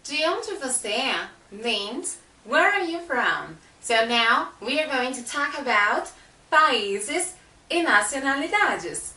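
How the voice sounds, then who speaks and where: A woman from Brazil with a Brazilian accent, patiently teaching a language lesson and repeating words slowly. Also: high-pitched, big pitch swings, bright, forceful tone. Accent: Brazilian Portuguese. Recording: echoey room, mic at a distance.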